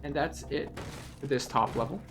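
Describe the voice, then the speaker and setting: A man's voice: mid-range voice, moderate pace, background noise. A man giving explanatory, methodical commentary as he guides listeners through a video game playthrough.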